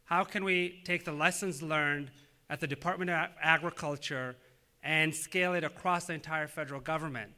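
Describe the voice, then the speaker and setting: Earnest official in his thirties from Tanzania, native Swahili speaker, on a podium speech; very bright tone, a touch high. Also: fairly even intonation. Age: 30s. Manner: earnest, measured, with frequent "uh" fillers. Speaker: government official giving a speech. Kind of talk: prepared public speech.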